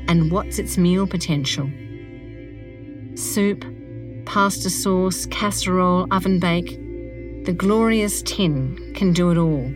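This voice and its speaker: Lower-pitched woman over music. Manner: conversational, instructive, addresses listener directly. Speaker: audio guide narrator. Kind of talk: scripted narration for a guided audio walk.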